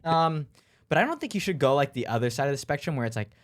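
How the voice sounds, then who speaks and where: Young dude co-hosting, voice jumps around a lot, lots of ums and likes. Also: male voice, a little high, big pitch swings, quick pace. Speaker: podcast co-host. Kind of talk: casual chat.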